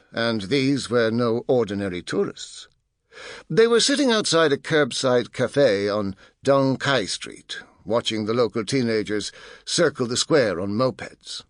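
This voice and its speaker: A man narrating an audiobook as scripted fiction, telling the story in a measured, dramatic and expressive way. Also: medium pitch, no room sound, clean studio sound.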